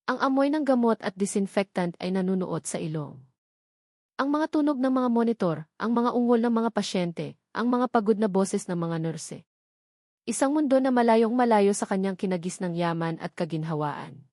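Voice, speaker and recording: A woman narrating a romance story in a steady, dramatic reading style. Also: medium pitch, no echo, studio quality.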